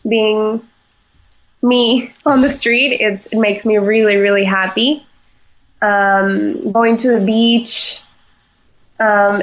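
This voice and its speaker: Slow, soft-spoken woman. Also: fairly high pitch, poor audio. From Venezuela. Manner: halting, many fillers and restarts, laughs easily. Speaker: chef, podcast guest. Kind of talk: casual interview answers.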